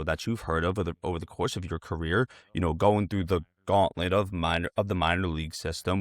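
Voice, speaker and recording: Guy hosting an interview, lowish voice, no room sound, earnest, rambles and repeats himself a bit. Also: dry recording, studio quality. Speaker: interviewer, host. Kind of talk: casual interview conversation.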